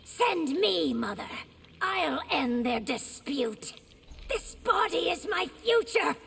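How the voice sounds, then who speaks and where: A fiery, combative young game princess. The voice is high with a gravelly rasp of creak, in long reverb over music. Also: female voice, big pitch swings, takes it slowly, a bit bright, exaggerated voice. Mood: defiant. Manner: proud, combative. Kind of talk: dramatic video game dialogue.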